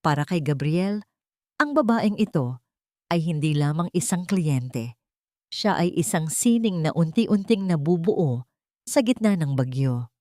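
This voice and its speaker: A woman's voice: low voice, expressive, unhurried, darkish tone, no echo, studio quality. A woman reading a dramatic story aloud as a scripted audiobook narration.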